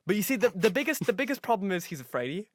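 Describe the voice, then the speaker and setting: Irish podcast co-host, high lively voice, dry close mic, jokey. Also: male voice, racing, no echo. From Ireland. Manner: jokey, bursts into loud silly voices. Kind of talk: casual podcast chat.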